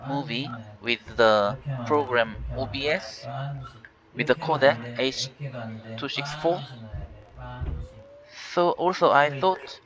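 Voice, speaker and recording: Slow halting Korean man, lo-fi. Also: medium pitch, crowd noise. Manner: halting, many fillers and restarts. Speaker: tech channel host. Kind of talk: casual screen-recorded walkthrough. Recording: dull lo-fi voice with faint hiss.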